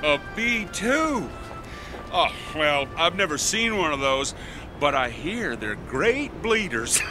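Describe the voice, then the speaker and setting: A man's voice: a little high, very animated, slow pace, over music. An actor playing a wisecracking, slightly sinister male stranger who teases in a sly way, in a radio drama. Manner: teasing, sly. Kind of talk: 1960s-style radio drama acting.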